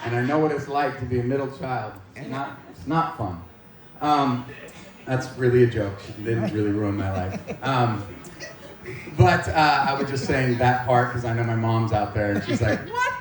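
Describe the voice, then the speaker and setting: A man's voice: mid-range voice, moderate pace. An American man in his forties giving an informal personal testimony to a live audience, with a joking, self-deprecating manner. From Maine, United States. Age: 40s. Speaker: guest speaker giving testimony at church. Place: large echoey indoor room, speaking to a gathering. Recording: echoey room, distant mic, faint noise.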